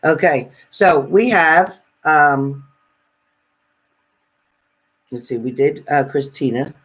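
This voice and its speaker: A man's voice: on the high side, slow pace, fairly bright tone, breathy edge. A man hosting a casual live question-and-answer stream, giving spirit readings and chatting with viewers.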